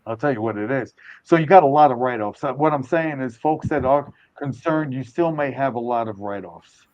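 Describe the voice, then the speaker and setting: A man's voice: mid-range voice, moderate pace, darkish tone, dry recording, highs cut off. Older man rambling on a live stream. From United States. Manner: rambling, hedging, self-correcting. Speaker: host. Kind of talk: casual live stream talk.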